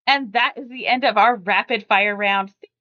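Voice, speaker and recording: A woman's voice: mid-range voice, moderate pace, highs cut off. A middle-aged American woman hosting a podcast in a casual, chatty, rambling way. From US West Coast. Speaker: podcast host.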